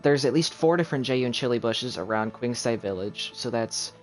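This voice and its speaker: A man's voice: medium pitch, animated, moderate pace, faint music behind. A man livestreaming a game, chatting casually in a rambling, self-deprecating way. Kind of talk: casual chat while gaming on a livestream. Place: voice over game music on a livestream.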